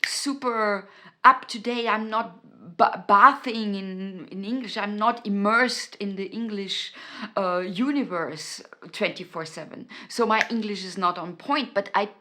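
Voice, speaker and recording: An Austrian woman talking straight to her camera, slow and unhurried, pausing to find the right word. Her tone is bright and forward. Her German roots colour each phrase. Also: medium pitch. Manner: earnest and searching for words, with many restarts. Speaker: YouTube host. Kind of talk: motivational talk to camera.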